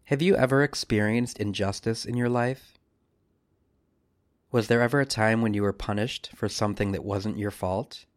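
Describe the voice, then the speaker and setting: A man speaking as a priest, leading a scripted devotional meditation with measured, solemn phrasing and deliberate pauses. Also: lowish voice, no room sound.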